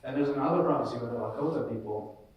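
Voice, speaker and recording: A man's voice: medium pitch, fast talker, darkish tone, slight background noise. A man from Colombia speaking as a panelist, earnest and rambling with many fillers. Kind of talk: casual panel discussion. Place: large echoey room, live event. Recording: echoey room from far off.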